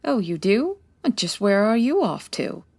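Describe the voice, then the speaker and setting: A woman's voice: mid-range voice, big pitch swings, a little slow, vocal fry, darkish tone, heavily acted. A woman playing a poised, wry 1940s private detective in a comedy audio drama, articulate and dry-witted. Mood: wry, fond.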